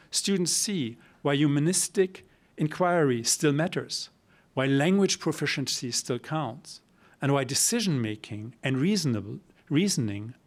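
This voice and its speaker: Middle-aged guy giving a talk, kinda high voice, slow careful emphasis, lecture hall. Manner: measured, emphatic delivery with pauses. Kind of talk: academic talk and Q&A.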